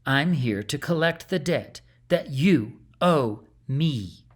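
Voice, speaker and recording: A man's voice: on the high side, animated, slow pace, slightly rough, full character voice. A man voicing a menacing aging sorceress in a storybook, hollow and callous, commanding and mocking. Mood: accusing.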